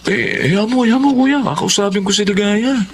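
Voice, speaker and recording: A man's voice: sits high, normal speed, slight background noise, lightly acted. An actor in a radio drama playing a menacing, sly, bullying stepfather with a Filipino accent, insistent and repeating short demanding questions. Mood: evasive. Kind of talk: Tagalog radio drama acting.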